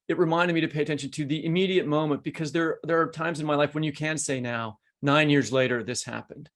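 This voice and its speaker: A man's voice: a little high, steady tone, fast talker, a bit bright. A man in his fifties hosting a conversational literary interview, rephrasing as he goes. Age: 50s. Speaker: podcast host interviewing an author.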